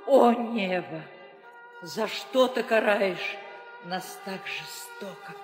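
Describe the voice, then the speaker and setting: Grieving queen, declamatory, very slow delivery, long reverb, old radio play. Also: mid-range voice, lively intonation. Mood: anguished pleading. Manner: declamatory, drawn-out. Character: grieving queen, mother of Rama, solemn and commanding. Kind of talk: 1960s Soviet theatrical audio drama acting. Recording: old reverberant studio recording with music.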